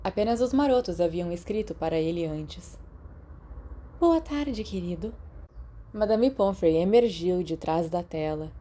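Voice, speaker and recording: A woman's voice: lowish voice, moderate pace, hardly any pauses, warm tone. A woman with a Brazilian accent reading a scripted story as an audiobook narrator. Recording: clearly noisy background.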